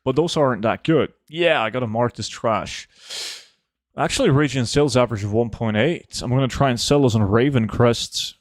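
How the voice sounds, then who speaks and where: A young man streaming a game, chatting casually and answering viewer questions in a relaxed, offhand way. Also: mid-range voice, normal speed, no echo, clean studio sound. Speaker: gaming streamer reading chat. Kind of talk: casual live gameplay chat.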